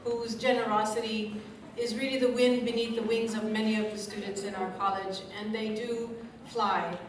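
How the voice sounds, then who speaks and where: A woman's voice: a little high, level tone, moderate pace, background noise. Big-voiced woman in an echoey hall. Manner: big projecting voice, speaks away from the mic; reads from a script with asides. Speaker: event emcee for a college scholarship evening. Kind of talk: public speech to an audience. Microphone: stage mic kept at a distance. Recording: echoey room, distant mic, crowd ambience.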